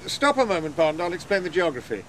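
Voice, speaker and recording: An actor with an upper-class English accent playing a jovial, domineering rocket tycoon with a hearty, commanding manner in a radio drama. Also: male voice, a little high, big pitch swings, fast, a bit bright, slight background noise. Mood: proud, brisk.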